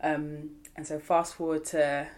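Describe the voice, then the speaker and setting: A woman's voice: deep voice, flat delivery, measured pace, darkish tone. A woman from Zimbabwe chatting casually on a podcast, self-mocking and often laughing as she talks. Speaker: podcast co-host. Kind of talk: casual group chat.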